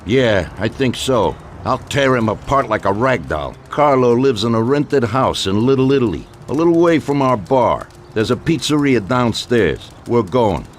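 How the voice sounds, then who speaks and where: An actor playing an older American mafia boss, genial but ruthless, talking in colloquial gangster style in a game cutscene. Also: male voice, mid-range voice, expressive, moderate pace, hardly any pauses, slight background noise, close to an everyday voice. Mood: grimly vengeful. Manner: conversational, colloquial gangster talk. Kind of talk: video game cutscene acting.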